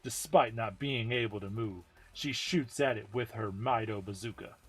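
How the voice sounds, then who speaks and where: A man's voice: lowish voice, moderate pace, a bit bright, breathy edge. A man giving a dramatic amateur reading of a game story as narrator. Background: music.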